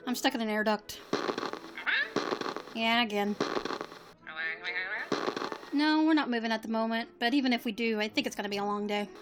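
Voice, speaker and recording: A woman playing an unflappable female field agent with a dry, deadpan manner in an audio drama. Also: on the high side, normal speed, long pauses, over loud music, close to an everyday voice, poor audio. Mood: casual.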